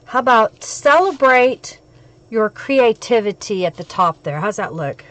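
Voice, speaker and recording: A woman with a crackly, hoarse voice from a cold, hosting a crafting live stream and chatting with viewers as she works. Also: on the high side, lively intonation, measured pace, a bit bright, no room sound.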